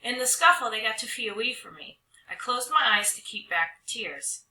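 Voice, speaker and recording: A woman narrates a children's story with lots of drama. Her voice is very bright and swoops expressively in pitch, and the room adds a clear echo. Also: animated, normal speed. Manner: dramatic, with stretched words and hushed drops. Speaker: storybook reader. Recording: roomy echo.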